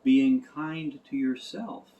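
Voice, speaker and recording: A man voicing a small, gentle, cake-loving mole in a storybook, speaking in an earnest, encouraging way. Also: mid-range voice, steady tone, slow, muffled recording, voice pushed up.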